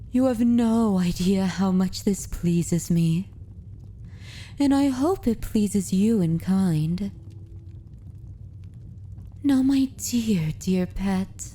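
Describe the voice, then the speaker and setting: A woman playing a dominant, possessive yet tender vampire mistress in an ASMR roleplay, speaking softly and intimately in a formal, courtly manner. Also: mid-range voice. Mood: pleased, hungry. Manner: slow, deliberate, formal and courtly. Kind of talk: ASMR roleplay, soft intimate delivery with whispers.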